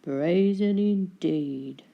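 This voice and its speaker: A mild, gloomy lighthouse keeper from a storybook, acted out by a woman. He talks very slowly in a lowered voice, ponderous and formal with his words. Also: vocal fry, highs cut off, full character voice. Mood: agreeable, ponderous. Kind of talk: children's storybook character voice.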